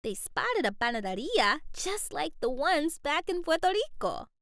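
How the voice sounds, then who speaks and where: A woman narrating a children's picture book aloud in an expressive, carefully paced storytime style. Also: sits high, no room sound, studio quality.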